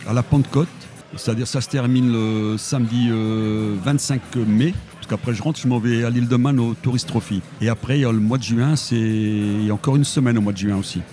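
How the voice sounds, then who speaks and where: A man's voice: medium pitch, steady tone, brisk, warm tone, slight background noise. A man from Portugal, a motorcycle tour guide, chatting as a guest in a casual radio interview with many restarts.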